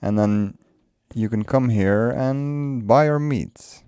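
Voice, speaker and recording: A man's voice: medium pitch, takes it slowly, dark tone, clear, resonant tone, no room sound, clean studio sound. A man whose first language is Dutch, casually commenting while he builds in a game, musing aloud and laughing often. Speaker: let's play creator.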